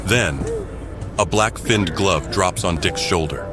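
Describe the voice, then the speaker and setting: Deep-voiced male dramatic narrator over loud music. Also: slow. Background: loud dramatic score.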